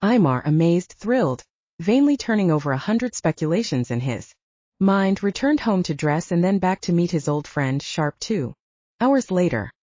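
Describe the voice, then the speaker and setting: Audiobook narrator, woman, low-lit warm tone, expressive intonation, scripted literary prose. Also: normal speed, no room sound. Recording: slightly dull, lo-fi close recording.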